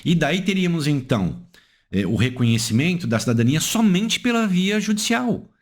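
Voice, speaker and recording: Lively Brazilian man explaining the law. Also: normal speed. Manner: asks rhetorical questions and answers them; emphatic, swings pitch up and down for stress. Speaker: legal analyst. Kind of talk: explanatory legal lecture.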